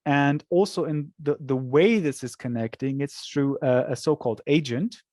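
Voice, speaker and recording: A man speaking as a software company representative in a webinar interview, explaining in a measured way and sometimes repeating words as he looks for phrasing. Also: a little high, dry recording, studio quality.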